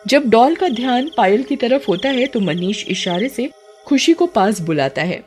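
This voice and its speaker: A woman reads scripted horror narration as a storyteller. Her voice is high-pitched. Music plays behind her. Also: lively intonation, moderate pace, a little mellow.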